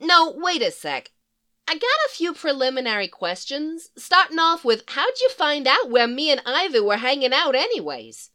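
A woman's voice: sits high, moderate pace, voice pushed up, exaggerated voice. A woman voicing a brash former psychiatrist turned supervillain. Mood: brassy. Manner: brassy, excitable, slangy.

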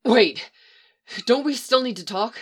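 A woman's voice: fairly high pitch, measured pace, breathy edge, voice pushed up. A woman voicing a stern scolding male handler. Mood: tense. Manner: clipped, exasperated, scolding. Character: stern protective older male handler agent.

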